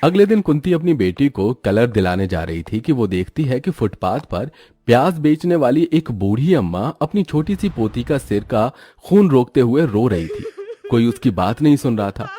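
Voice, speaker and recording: A man's voice: medium pitch, big pitch swings, normal speed, warm tone, husky. A man narrating a scripted cartoon moral story as its storyteller.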